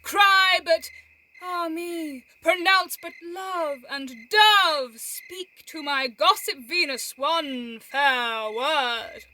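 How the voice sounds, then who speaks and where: An actress playing a mocking, bawdy, theatrical young friend in an amateur Shakespeare audio drama, recorded at home on a phone. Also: female voice, very high-pitched, extremely slow, pushed and bright, full character voice. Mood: mocking, teasing.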